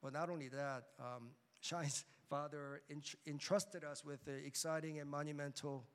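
A man speaking in a measured, hesitant way with frequent fillers as a missionary at a church mission presentation. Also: on the high side, steady tone, a bit bright, clean tone. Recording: long hall reverb.

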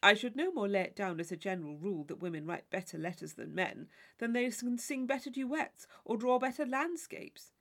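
Audiobook role: arch young gentleman, read by a woman, articulate, playful, highly varied intonation. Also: expressive, brisk, hardly any pauses, natural voice. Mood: playfully earnest. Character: witty, teasing young gentleman, mock-serious. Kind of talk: audiobook character dialogue.

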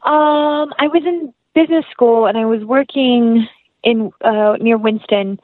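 A woman's voice: on the high side, measured pace, dry recording, narrow, tinny audio. Earnest young American woman in close, dry sound. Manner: earnest, effusive, rambling. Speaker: actress, interview guest. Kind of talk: casual interview chat, anecdotal.

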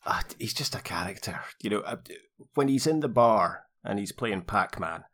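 A man's voice: lowish voice, lively intonation, normal speed, a bit bright. A man chatting casually about television as a podcast co-host.

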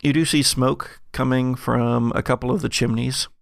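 A man running a tabletop game as game master, improvising descriptive narration in a halting, deliberate way. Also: medium pitch, fairly even intonation, gravelly, no room sound, clean studio sound.

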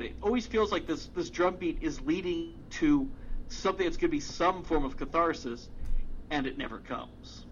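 Rambling man, slightly high, dull recording. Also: a little hiss or hum. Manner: rambling, many fillers and restarts, swears casually. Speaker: music podcast co-host. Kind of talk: casual chat.

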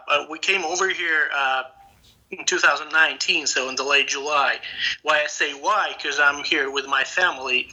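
A man in his late thirties, from Russia, talks about his background in a casual roundtable interview. His voice sits a little high and rings very bright. He speaks haltingly, restarting and repeating words as he searches for them. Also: poor audio. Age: late 30s. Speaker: graduate student guest.

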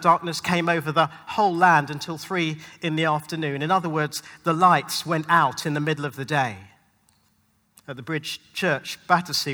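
A man preaching a sermon to a congregation in an emphatic, rhetorical manner with dramatic pauses. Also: a little high, pushed and bright. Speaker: pastor, guest preacher. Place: large hall at a live church event. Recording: long hall reverb.

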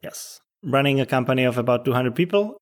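A man's voice: mid-range voice, animated, fairly quick. A man in his thirties from the Czech Republic, a startup CEO answering interview questions in an earnest, explanatory way. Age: 30s.

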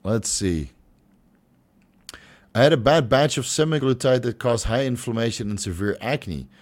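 A young man hosting a casual livestream Q&A, joking and swearing freely, with his voice turning hoarse and stuffy. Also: medium pitch, expressive, moderate pace. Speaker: host answering viewer questions.

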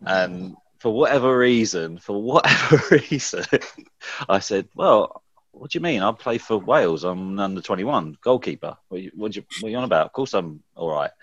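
A man with a very low voice tells old stories in a loose, rambling way, laughing easily as he goes. The sound is dry and a little dull, like a home podcast recording. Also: animated, normal speed, a bit bright, dry recording. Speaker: podcast guest, former goalkeeper. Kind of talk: casual reminiscing chat.

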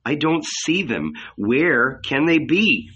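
A man's voice: on the high side, sing-song, takes it slowly, pushed and bright, husky, voice pushed up, exaggerated voice. A man voicing a troubled, earnest young male prophet who speaks with anxious yearning, on a dull lo-fi recording.

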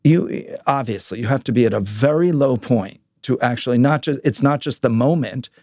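A man's voice: medium pitch, moderate pace, a little mellow, dry recording, studio quality. A man hosting an interview in a casual, conversational way, informal and affirming with his guest. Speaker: podcast host interviewing a guest.

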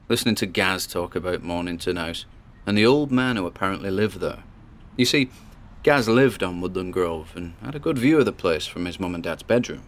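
An earnest young male ghost-hunting vlogger, played by an actor. He talks in a chatty, halting, reflective way, as if keeping an audio diary on tape for a radio drama. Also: on the low side, natural voice. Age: 20s. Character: Miles Gordon, earnest 27-year-old male paranormal investigator vlogger.

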